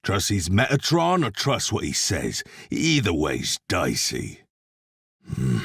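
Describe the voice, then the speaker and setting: A man playing a grim, sardonic cowboy blacksmith demon hunter in a video game, a gravelly husky voice and a dry, laconic manner. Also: low-pitched, huge pitch swings, slow pace, fairly bright tone, dry recording, full character voice. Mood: dry, wry.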